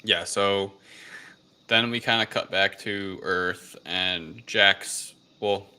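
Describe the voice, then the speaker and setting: A man's voice: low-pitched, steady tone, slow pace, bright, forceful tone. A man co-hosting a casual film review chat, recapping scenes informally. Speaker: podcast co-host. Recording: clear background noise.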